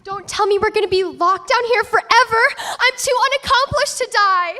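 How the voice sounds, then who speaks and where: A woman's voice: very high-pitched, normal speed, pushed and bright. A woman playing a dramatic, self-absorbed teenage girl in a staged reading.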